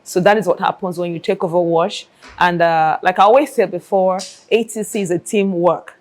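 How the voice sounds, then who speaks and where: A woman's voice: fairly low pitch, fairly even intonation, moderate pace, a little mellow. A Nigerian-accented woman, an air traffic controller, explaining her work in an interview and repeating phrases for emphasis.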